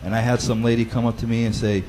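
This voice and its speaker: A man with a loud voice who leads a Bible study, explaining practical advice in a conversational way with frequent fillers and restarts. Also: medium pitch, level tone, quick pace, a little hiss or hum, low-quality recording. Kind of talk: instructional talk.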